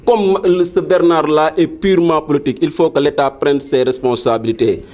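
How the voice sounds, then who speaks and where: A man's voice: on the high side, steady tone, normal speed, darkish tone. A man with a Senegalese accent making an impassioned statement to the local press, mixing Wolof and French and repeating his points. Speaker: protesting villager.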